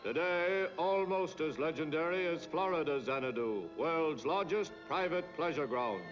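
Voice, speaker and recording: Male actor as pompous American newsreel narrator, portentous declamation, high voice, dull vintage film soundtrack. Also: moderate pace, natural voice. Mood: grand, solemn. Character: pompous newsreel announcer, grandiose and solemn. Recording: dull 1940s film soundtrack, loud music. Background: loud orchestral music.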